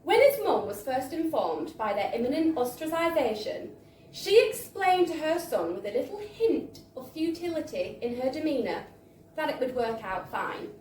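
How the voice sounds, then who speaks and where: A woman reading a story aloud live at a venue, with an expressive, theatrical delivery. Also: sits high, normal speed. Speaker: reader of a book excerpt. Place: live book launch in a bar. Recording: echoey live venue, distant mic, faint noise.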